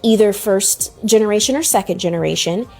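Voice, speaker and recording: A woman's voice: medium pitch, a little fast, darkish tone, soft background music. A woman speaking as an interview guest in a played clip, explaining her view earnestly.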